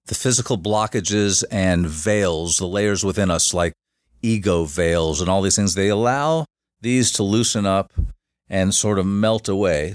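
A man with a very low voice talks as a guest on a podcast. He explains at length in a halting way, full of restarts and fillers. The sound is dry, with no room echo. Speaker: guest, shamanic facilitator. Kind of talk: casual podcast interview.

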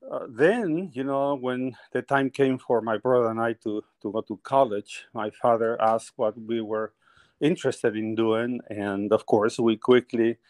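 A man's voice: mid-range voice, steady tone, a little slow, a little mellow, no echo. A middle-aged man from Peru, a painter telling his life story as a guest in a conversational interview, often laughing as he talks.

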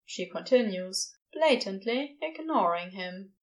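A woman's voice: medium pitch, expressive, slow. A woman narrating scripted fiction as the storyteller of an audio drama.

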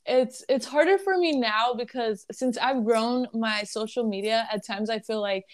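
A woman's voice: fairly high pitch, normal speed. A woman in her twenties with a Lebanese accent, a podcast guest chatting casually and laughing between phrases. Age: 20s. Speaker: guest, content creator. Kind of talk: casual conversational interview.

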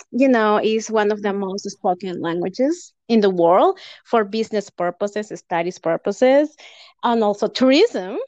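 A woman's voice: mid-range voice, a little mellow, no echo, dull audio. A Peruvian woman with a Spanish accent, a podcast guest who talks effusively and laughs often between phrases. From Peru. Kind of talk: casual podcast conversation.

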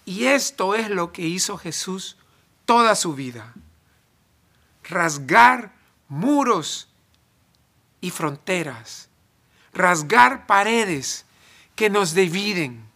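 A man from El Salvador, preaching a sermon in deliberate phrases with emphatic pauses. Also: sits high, lively intonation, sharp tone.

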